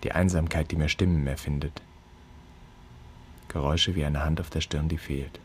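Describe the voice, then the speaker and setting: A man's voice: rumbling low, fairly even intonation, normal speed, slight background noise. An actor playing a bitter grown son who broods and declaims against his absent father in a literary radio drama. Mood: haunted, brooding. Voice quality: husky. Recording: long reverberant hall.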